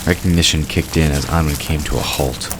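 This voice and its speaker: A man's voice: extremely low pitch, steady tone, brisk. A man reading scripted third-person narration for a fantasy audio drama. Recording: clear background noise of night crickets.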